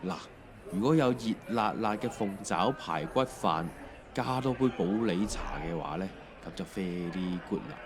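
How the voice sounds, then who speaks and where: A middle-aged man with a Hong Kong Cantonese accent, playing a mild husband who narrates an audio drama in a reflective, unhurried way. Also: fairly low pitch, animated, a crowd in the background, natural voice. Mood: casual, teasing. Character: mild, bumbling husband narrating his family's emigration. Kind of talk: scripted first-person narration in an audio drama.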